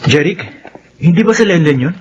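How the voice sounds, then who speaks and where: Man acting supportive male cousin, highish voice, urging, radio drama, slightly muffled. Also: expressive, fairly quick, over music, natural voice.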